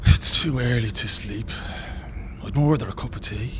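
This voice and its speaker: A man's voice: medium pitch, normal speed, background noise, natural voice. An Irish man playing a wry, grumbling working-class rebel volunteer in an audio play, colloquial and comic.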